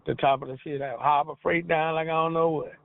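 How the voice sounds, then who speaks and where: A man's voice: on the high side, fairly even intonation, fairly quick, creaky, dry recording. A man calling in as a small business owner, chatting casually in an informal, plainspoken, colloquial way. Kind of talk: casual phone chat. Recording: tinny narrow phone line.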